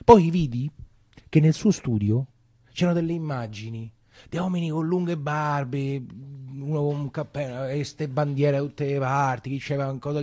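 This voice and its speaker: A colloquial Roman man played by a male actor recalls his boyhood in a theatrical monologue. His voice is dark and mellow, closely and dryly recorded, and he often doubles back on his words. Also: fairly high pitch, dry recording, clean studio sound, lightly acted. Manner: colloquial, halting, with repetitions. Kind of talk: theatrical first-person storytelling.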